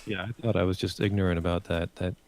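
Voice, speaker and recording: A man's voice: sits low, fairly even intonation, fast, a little mellow, slight background noise. A man giving casual feedback in a group discussion, hesitant and hedging as he feels his way through.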